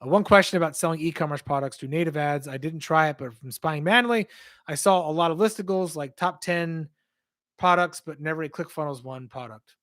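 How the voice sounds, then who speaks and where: A man hosting a casual livestream Q&A, rambling as he answers viewer questions, his voice strained and hoarse from losing it. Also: on the high side, a little fast, fairly bright tone. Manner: rambling, conversational, reads out and answers chat questions.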